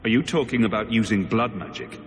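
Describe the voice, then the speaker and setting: A man's voice: fairly low pitch, a little fast, close to an everyday voice. An actor playing a guarded young male hero mage in a fantasy game, asking direct questions. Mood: wary, questioning. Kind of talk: dramatic fantasy game acting. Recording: long reverb, clear music behind.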